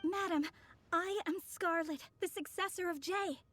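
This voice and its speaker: An actress playing a formal, gentle, mysterious young woman in a video game, speaking politely. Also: very high voice, measured pace, no echo. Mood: polite, eager.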